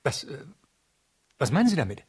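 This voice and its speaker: An actor in a 1970s radio play voicing a flustered writer of pious books, halting and curt by turns. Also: male voice, medium pitch, sing-song, breathy edge. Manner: halting and stammering, turning curt and sarcastic when pressed. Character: flustered, devoted son, male writer of pious biographies. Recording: heavy noise, clicking typewriter sounds.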